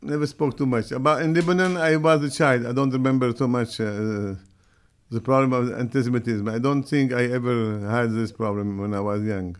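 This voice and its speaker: An older man with a heavy Brazilian accent, answering interview questions in halting, direct English with frequent restarts. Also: mid-range voice, smooth. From Syria. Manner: halting, restarts mid-phrase, plain direct phrasing. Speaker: guest.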